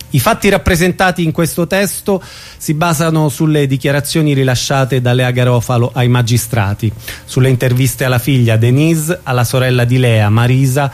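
A man reading a scripted introduction to a radio drama in a solemn, declamatory way, as narrator. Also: medium pitch.

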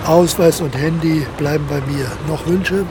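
A man's voice: fairly high pitch, fairly even intonation, unhurried, noisy background, lightly acted. A man playing a cold, commanding army colonel in a radio drama, speaking in curt, authoritative orders. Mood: stern, matter-of-fact. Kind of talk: modern German radio drama acting.

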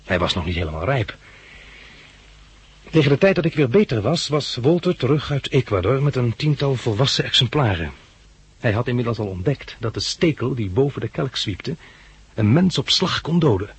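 Keen young plant enthusiast, polite storyteller, hazy husky sound, medium pitch, 1960s Dutch radio play. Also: male voice, very animated, normal speed, no room sound, exaggerated voice. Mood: reflective narrating. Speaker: lead character and narrator.

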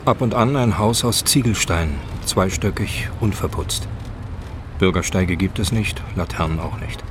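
A man's voice: low-pitched, a little slow, background noise. A man reading scripted, present-tense scene narration for a radio drama.

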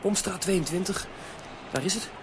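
An actor playing a brisk, bold young boy adventurer in a children's audio drama. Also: sits high, background noise. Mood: wary.

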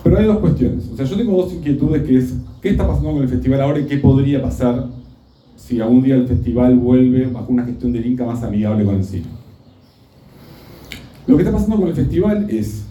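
A man from Mar del Plata speaks, his voice very dark and mellow. He is a film critic on a bookstore panel who builds his points in long, wry runs. The sound is dull and roomy, picked up from some way off the mic. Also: medium pitch, lively intonation, brisk. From Mar del Plata, Argentina. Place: bookstore event room. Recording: distant, echoey, dull room recording.

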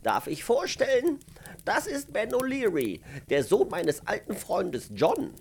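An actor playing a kindly, jovial old gardener in a radio play, with a creaky, aged voice and a gently teasing, avuncular manner. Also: male voice, high voice, wildly swooping pitch, measured pace, slight background noise, exaggerated voice. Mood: friendly, explaining. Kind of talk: German radio-play acting.

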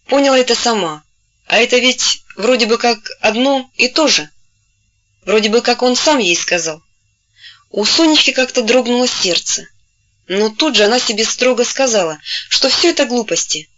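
A woman narrating a novel in a steady storytelling voice, gently taking on the characters' voices when they speak. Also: fairly high pitch, dull audio. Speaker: audiobook narrator. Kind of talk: scripted literary narration.

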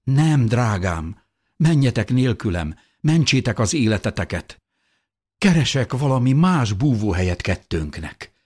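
A man's voice: medium pitch, very animated, a little mellow, breathy edge, voice pushed up. A man voicing an anguished, self-sacrificing young mother in an audiobook, pleading emotionally with emphatic phrases. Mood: tearful pleading.

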